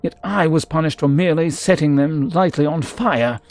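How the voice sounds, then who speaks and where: A proud fantasy-game mercenary played by a man, pitched fairly high. He is a scholar turned sellsword who reminisces in florid, formal phrases with theatrical flair. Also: normal speed, a little mellow, close to an everyday voice. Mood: wistful, proud. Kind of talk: character voice in a fantasy game read-through.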